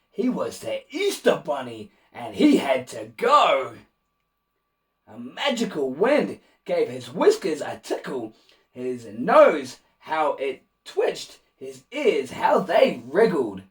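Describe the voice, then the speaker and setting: A man reading a rhyming children's picture book aloud in an expressive, animated way, with asides to young listeners. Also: fairly high pitch, slow, distant mic. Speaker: children's storybook reader and host.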